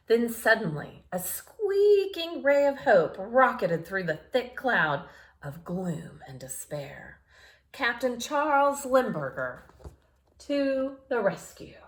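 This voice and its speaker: Woman reading aloud, very animated and unhurried. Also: a little high. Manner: playful, expressive, addressing children directly. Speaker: storyteller reading picture books aloud for children. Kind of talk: animated read-aloud with asides to young listeners.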